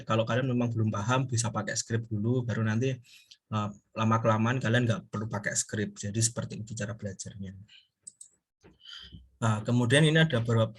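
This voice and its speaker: A man giving an informal recorded English lecture as a university teacher, explaining haltingly and often pausing to search for words. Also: fairly low pitch, breathy edge.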